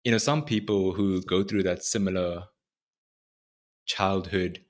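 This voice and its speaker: A man hosting a podcast interview, asking reflective questions in measured, short phrases. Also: low-pitched, steady tone. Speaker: podcast host.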